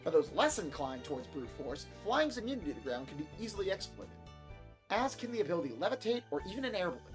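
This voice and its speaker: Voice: mid-range voice, big pitch swings, fast talker, natural voice. A performer voicing a stern male science teacher, lecturing formally for a visual novel reading. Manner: formal, didactic. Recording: music behind the voice.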